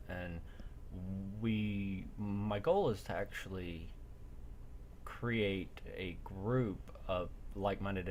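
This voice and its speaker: A man with a deep voice acts as game master in a casual online session. He talks informally to his players. Faint noise sits behind his voice. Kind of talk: casual chat while running an online tabletop game.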